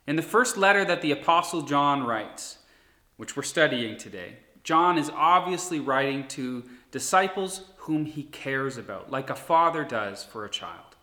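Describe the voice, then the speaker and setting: A man in his thirties, a pastor, giving a sermon in an earnest, steady preaching manner. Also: on the high side, a little slow. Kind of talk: recorded sermon. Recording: echoey room.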